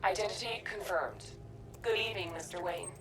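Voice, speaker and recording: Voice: medium pitch, brisk, music in the background, lightly acted. A woman voicing a computer system that reads out status reports in clipped announcements. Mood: clinical. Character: cold female computer voice. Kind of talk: video game voice acting.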